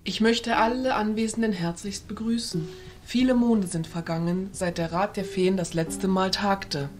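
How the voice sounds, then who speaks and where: A woman playing a dignified, diplomatic fairy ruler, speaking in a formal, measured way. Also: medium pitch, fairly even intonation, natural voice. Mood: ceremonial.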